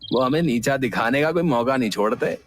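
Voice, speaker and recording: A man's voice: mid-range voice, fairly quick, lightly acted. A man voicing a deferential younger brother in a cartoon, agreeable and eager to please. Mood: grumbling. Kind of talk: cartoon voice acting. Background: background music.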